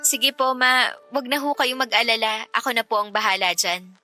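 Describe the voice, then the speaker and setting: Young self-sacrificing working woman, radio drama, emotional but firm, really bright voice. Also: on the high side, fairly even intonation, normal speed, faint music behind. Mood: masking sadness. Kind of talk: Tagalog radio drama acting.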